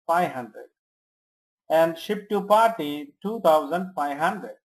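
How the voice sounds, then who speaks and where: A software trainer giving step-by-step instructions in short commands, checking in often during a screen tutorial. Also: medium pitch, low-quality recording. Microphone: screen-recording voice-over.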